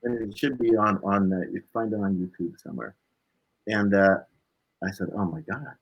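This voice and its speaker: Husky, low-voiced man telling stories. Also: normal speed, muffled recording. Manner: animated anecdotal telling, acting out dialogue, tagging phrases with "right?". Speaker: interview guest, blues musician. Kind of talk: casual personal storytelling.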